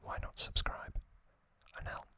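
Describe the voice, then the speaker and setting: Slow, whispering male ASMR host. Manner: slow, hushed phrases with frequent pauses.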